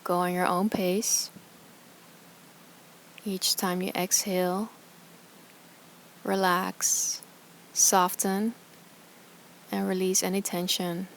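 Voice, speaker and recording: A woman guiding a meditation as a yoga teacher, speaking softly and soothingly in short, calm phrases with pauses between them. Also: lowish voice, a little hiss or hum. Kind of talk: guided meditation instruction.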